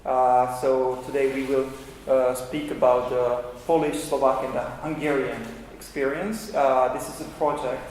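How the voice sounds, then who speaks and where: A man's voice: mid-range voice, little pitch movement, a little slow, a little mellow. A man, a think-tank director moderating a panel, gives formal opening remarks with frequent filler sounds. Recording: echoey hall, faint noise.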